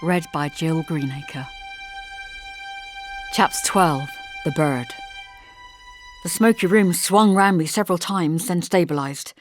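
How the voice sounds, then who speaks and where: A woman reading a novel as an audiobook, in expressive, measured first-person narration. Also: deep voice, music in the background.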